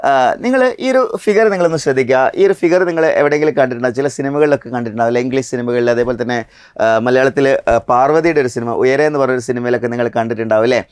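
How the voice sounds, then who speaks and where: A man's voice: medium pitch, very fast, smooth, no echo, studio quality. A man with a Malayali accent presenting an explanatory exam lesson as a coaching instructor, addressing viewers directly with rhetorical questions.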